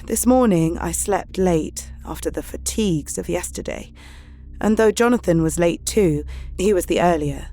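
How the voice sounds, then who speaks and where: A woman's voice: lowish voice, moderate pace, warm tone, lightly acted. An actress playing an anxious, devoted young Victorian wife, reading her journal in a wistful, emotional way for an audio drama. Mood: wistful, hurt.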